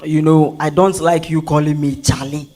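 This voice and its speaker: Man acting a high-voiced, flirtatious charmer. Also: steady tone, normal speed, darkish tone, slight background noise, close to an everyday voice. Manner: teasing, persistent. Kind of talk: dramatized stage reading.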